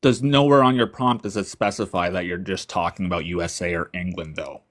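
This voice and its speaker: A man's voice: sits low, a little fast, a bit bright. A man calling into a livestream, arguing with pointed, sarcastic questions. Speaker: livestream caller. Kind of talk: argumentative debate.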